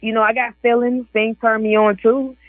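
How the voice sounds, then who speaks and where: A woman's voice: on the high side, flat delivery, normal speed, dry recording. A woman in her forties, an author and guest on a casual radio interview, chatty and laughing often as she quotes other people. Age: 40s. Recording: dry tinny phone line.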